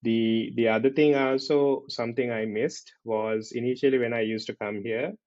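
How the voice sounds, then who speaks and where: A man with a Sri Lankan accent talking casually as a podcast host, halting and repeating himself, often checking in with a tag question. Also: mid-range voice, steady tone, darkish tone, dry recording. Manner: halting, repetitive, often tags questions with "right". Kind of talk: casual conversational podcast talk. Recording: dull lo-fi audio.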